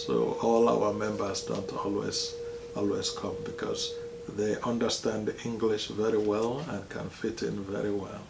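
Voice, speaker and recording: A man's voice: fairly low pitch, gravelly. Nigerian accent, man, priest, hesitant with fillers, roomy echo, faint background hum. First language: Igbo. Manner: slow and hesitant, many pauses and fillers. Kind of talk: interview, telling his life story.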